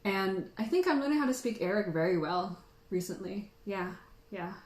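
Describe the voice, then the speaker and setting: A woman's voice: medium pitch, expressive, moderate pace, roomy sound. A woman, a native Tagalog speaker, hosting a casual livestream and chatting with viewers in a playful, teasing, jokey way. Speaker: host of a tea tasting livestream.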